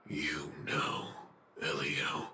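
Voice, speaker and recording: An actor playing a grave, fatalistic warrior devoted to destruction in a game cutscene, with a gravelly, breathy low voice and solemn, theatrical delivery. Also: male voice, monotone, drawn-out words, bright, forceful tone, exaggerated voice. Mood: subdued. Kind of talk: dramatic game cutscene acting. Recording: noisy, echoey, long reverb.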